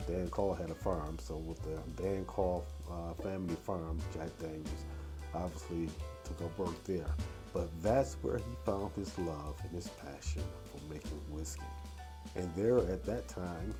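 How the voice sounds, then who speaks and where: A man in his sixties from Detroit, hosting a casual whiskey review with a stuffy nose from a cold, talking in an easygoing, chatty way. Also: sits low. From Detroit, USA. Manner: easygoing and chatty, with frequent uh and you know. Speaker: whiskey reviewer and channel host. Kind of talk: casual spoken review and storytelling.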